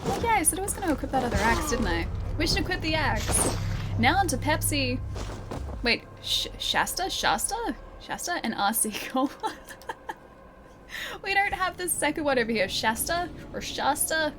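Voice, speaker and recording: A woman streamer chatting and laughing with her viewers as she plays a game, her voice a little croaky. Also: sits high, big pitch swings, normal speed, noisy background. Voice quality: voice a bit croaky early in stream. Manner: chatty, giggly, talks to chat while playing. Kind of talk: live gameplay commentary. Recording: game soundtrack playing behind. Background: game music.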